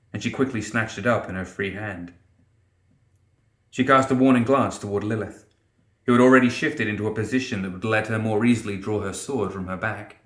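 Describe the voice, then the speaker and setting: A man's voice: fairly low pitch, fairly bright tone, echoey room. A man reading fantasy fiction as a dramatic scripted narration. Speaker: audiobook narrator.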